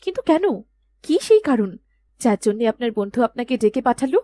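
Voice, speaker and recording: A woman's voice: on the high side, big pitch swings, normal speed, warm tone, no echo. A woman voicing a dutiful young princess in a storybook cartoon, with an earnest, regal way of speaking. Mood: worried, questioning. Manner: earnest, insistent questioning.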